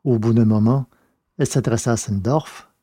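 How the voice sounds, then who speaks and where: A man's voice: mid-range voice, steady tone, moderate pace, warm tone, no room sound, clean studio sound. A man reading scripted narration for a science-fiction audio drama in French.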